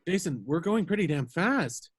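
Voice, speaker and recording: Man acting an eager, higher-voiced young starship pilot. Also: very animated, moderate pace, breathy edge. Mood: nervous. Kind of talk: amateur table read over online call.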